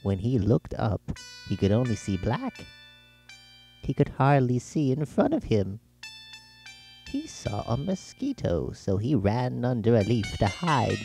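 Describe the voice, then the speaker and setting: British male storyteller: gravelly fry, animated intonation, scripted children's-tale narration with music underneath. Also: mid-range voice. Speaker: author reading own story. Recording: music behind the voice.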